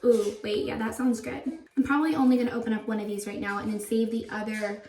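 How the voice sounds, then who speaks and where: A young woman vlogging casually at home, her voice a little raspy and froggy, rambling and relaxed with lots of "like.". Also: fairly high pitch, fast. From Florida. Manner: relaxed, rambling, uses "like" often. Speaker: vlogger, stay-at-home mom. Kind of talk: casual chatty vlog narration.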